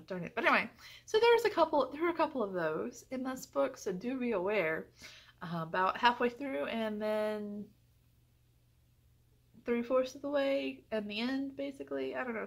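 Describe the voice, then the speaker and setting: A woman's voice: a little high, a bit bright. Chatty, effusive woman reviewing books. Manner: effusive, emphatic, often repeats phrases for emphasis. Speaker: book reviewer. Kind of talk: casual chatty book review vlog.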